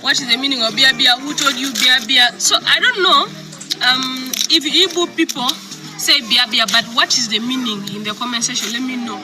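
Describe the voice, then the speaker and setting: A woman talks casually to her followers, as in a chatty vlog. Her voice sits a little high, and clear music plays under it the whole time. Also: normal speed, poor audio. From South Sudan.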